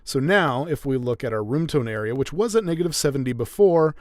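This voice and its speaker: A man's voice: medium pitch, fairly quick, dry recording, studio quality. A young man chatting casually and explaining his audio editing work as he goes. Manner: relaxed, chatty, laughs often, explains as he goes. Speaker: audiobook narrator and editor. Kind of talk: casual chat while editing.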